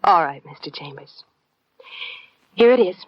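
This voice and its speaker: A woman's voice: on the low side, animated, a little slow, heavy background noise. An actress playing an elegant, flirtatious wealthy lady in a 1950s radio detective drama, with a genteel, coy manner. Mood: coy, inviting. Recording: dull vintage radio recording, music underneath.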